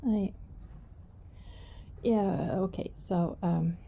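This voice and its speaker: A woman playing a shy, secretive young vampire neighbor in an ASMR roleplay, speaking softly, hesitantly and apologetically. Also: medium pitch, fairly even intonation, very slow, muffled recording, exaggerated voice. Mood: nervous pleading. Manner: hesitant, soft-spoken, apologetic, breathy.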